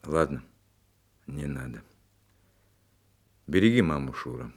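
A man's voice: a bass voice, animated, takes it slowly, breathy edge, full character voice. An actor playing a tender, weary war veteran father in a Soviet radio drama. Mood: solemn, subdued.

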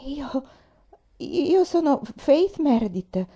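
Woman acting a timid, thin-voiced young girl, higher. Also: steady tone, moderate pace, warm tone, lo-fi sound. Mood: timid, frightened. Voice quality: faint, thin. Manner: hesitant, meek. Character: timid, frightened but earnest young girl. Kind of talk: audiobook character voice.

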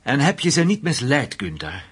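Husky, sceptical intelligence officer. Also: male voice, mid-range voice, huge pitch swings, fairly bright tone, full character voice. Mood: sceptical, probing. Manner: measured, probing, dry. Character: shrewd, sceptical male intelligence officer, probing and measured. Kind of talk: radio drama acting.

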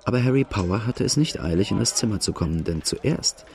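A man's voice: fairly low pitch, lively intonation, brisk, darkish tone. An actor narrating a radio drama in the first person as a plain-spoken outlaw looking back on his boyhood. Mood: reflective.

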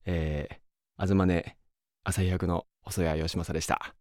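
Male voice actor, low register, close dry sound, unscripted reflective remarks that ramble. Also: flat delivery, slow pace, a little mellow, dry recording. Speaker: voice actor giving cast comment. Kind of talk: unscripted cast comment.

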